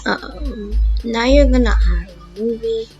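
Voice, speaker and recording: Slow-paced, high-voiced young-sounding woman tutorial host. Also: mellow. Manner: informal, thinking aloud while demonstrating. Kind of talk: casual voiced software tutorial. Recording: dull lo-fi mic, background music.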